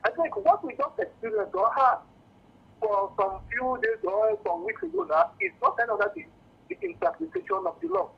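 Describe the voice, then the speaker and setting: A man's voice: very high-pitched, lively intonation, moderate pace, vocal fry, breathy edge. A Nigerian man calling a radio phone-in, courteously and earnestly explaining his political views. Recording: dull lo-fi phone call to radio.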